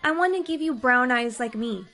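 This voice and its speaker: A woman's voice: sits high, expressive, normal speed, sharp tone, exaggerated voice. A woman voicing a lonely little foster girl in a kids' skit, speaking in an earnest, childlike, hopeful way.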